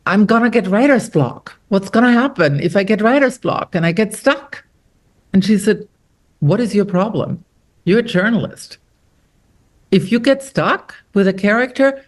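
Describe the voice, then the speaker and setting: A middle-aged woman from Austria, an author talking about her novel in an interview, animated and quoting others as she tells stories. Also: on the low side. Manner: animated storyteller, quotes others with lively shifts. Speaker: author guest. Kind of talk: conversational interview.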